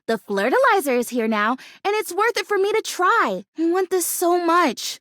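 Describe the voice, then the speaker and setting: Perky, very high-pitched fake houseplant. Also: female voice, very animated, moderate pace, a bit bright, dry recording, clean studio sound, full character voice. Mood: indignant, hopeful. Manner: perky, dramatic, emphatic, chatty. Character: bubbly, naive, stubbornly hopeful female fake houseplant dreaming of being real. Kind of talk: animated video game character acting.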